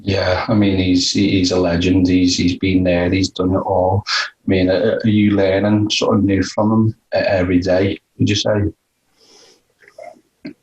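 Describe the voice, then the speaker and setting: Man, podcast interviewer, deep voice, flat even intonation, casual conversational questions. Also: moderate pace, lo-fi sound.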